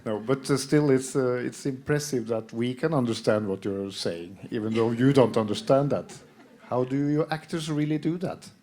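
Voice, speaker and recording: Mellow man moderating a panel. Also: medium pitch, normal speed. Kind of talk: panel talk.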